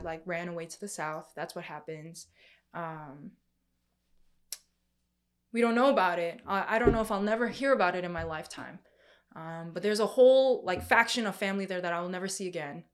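A young woman with a Korean family background, preaching a sermon in a conversational way with many fillers and restarts. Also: fairly low pitch.

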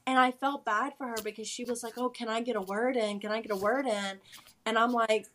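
A woman's voice: a little high, steady tone, brisk, fairly bright tone, a little hiss or hum. A woman co-hosting a podcast, recapping in a casual, slangy, emphatic way. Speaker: podcast co-host.

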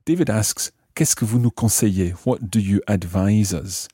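Male teacher-host, very low and mellow, methodical explainer for a language lesson, very dry sound. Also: normal speed, husky, no room sound. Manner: methodical, spells out and repeats phrases.

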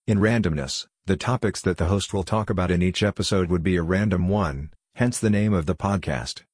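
A man reading a formal, scripted podcast introduction in a flat manner. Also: low-pitched, a little fast, breathy edge. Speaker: show intro announcer.

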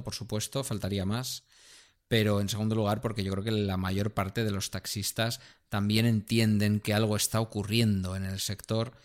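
Talkative man, lowish voice. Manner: talkative, playful and measured. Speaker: podcast co-host. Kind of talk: casual chat.

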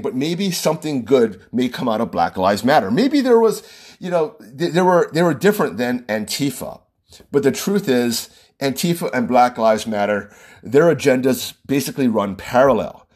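Man from Hawaii, husky, animated delivery, casual opinion monologue. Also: medium pitch, normal speed. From Hawaii, USA. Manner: loose, conversational, repeats and restarts phrases.

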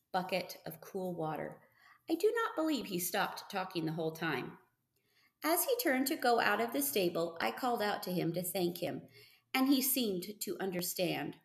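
A woman's voice: medium pitch, gravelly, reverberant. A woman reading a first-person story aloud as scripted audiobook narration. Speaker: audiobook reader.

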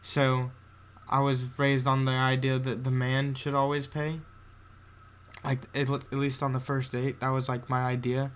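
A man's voice: medium pitch, completely flat delivery, measured pace, slight background noise. A young man from Georgia co-hosting a podcast, telling a story in a rambling, casual way, full of "like" and "literally". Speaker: podcast co-host. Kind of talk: casual chat.

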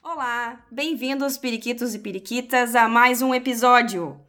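A woman's voice: a little high, normal speed, a bit bright, faint music behind. Upbeat woman hosting a podcast. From southern Brazil. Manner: upbeat, welcoming, poses questions. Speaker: podcast co-host.

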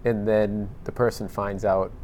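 A man's voice: lowish voice, level tone, unhurried, darkish tone, slight background noise. A man hosting a panel discussion, asking long searching questions in a conversational way. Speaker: podcast host.

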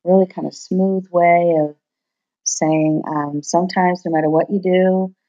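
A woman who hosts a podcast and writes songs, talking openly and reflectively at home while hoarse from losing her voice. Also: lowish voice, steady tone, a little slow, mellow, dull audio. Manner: open, reflective, confiding. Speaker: podcast host, singer-songwriter. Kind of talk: candid personal monologue. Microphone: computer recording.

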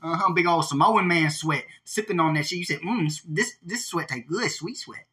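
A man with a bright, ringing voice pitched a little high rambles through profane, joking podcast banter. His talk is loose and slangy, and he sounds high. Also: moderate pace, reverberant. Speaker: podcast co-host. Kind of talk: casual profane chat.

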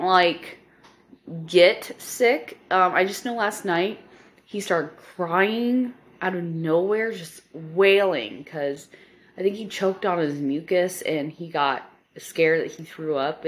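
A woman in her thirties from the Midwest, hoarse and stuffed up from a cold, giving a rambling casual update to her podcast listeners with lots of ums. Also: lowish voice. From Nebraska, US Midwest. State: hoarse, congested. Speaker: podcast host.

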